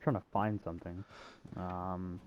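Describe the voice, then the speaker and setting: A man's voice: sits low, flat delivery, measured pace, muffled recording, slight background noise. A man giving casual video game walkthrough commentary, with hesitant, wry, self-deprecating asides.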